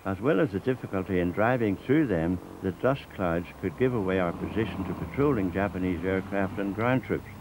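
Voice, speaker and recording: A man's voice: low-pitched, fairly quick, few pauses, background noise. Older Northern Irish man narrating. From Northern Ireland. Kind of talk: scripted documentary narration.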